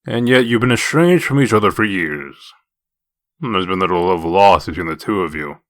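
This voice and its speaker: A man playing a gruff, humorless wolf-man detective in a comic dub, curt, stern and deadpan. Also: deep voice, normal speed, no room sound, studio quality. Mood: deadpan challenge. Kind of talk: comic dub character acting.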